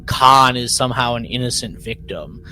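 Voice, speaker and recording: A man co-hosting a podcast in a casual recap style, haltingly repeating and rewording his phrases. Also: mid-range voice, sharp tone. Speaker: podcast co-host.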